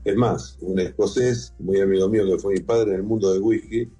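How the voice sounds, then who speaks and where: A man's voice: low-pitched, fairly even intonation, normal speed, warm tone, husky, soft background music. A middle-aged man with an Argentine accent, answering conversationally as the guest in an interview. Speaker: interview guest, whisky museum founder and collector.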